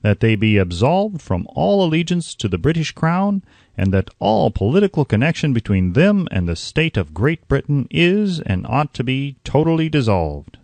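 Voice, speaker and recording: A man's voice: mid-range voice, big pitch swings, normal speed, a little mellow, dry recording, pitched above the performer's own voice. A man voicing an 18th-century statesman making a formal, fervent speech in an audiobook. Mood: solemn, resolute.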